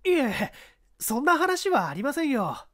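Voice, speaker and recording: Young male ballplayer played by a woman, reserved, courteous, evasive, pitched high, for a radio story. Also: sing-song, unhurried, a bit bright, full character voice. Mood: polite, evasive. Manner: brief, polite, evasive answers.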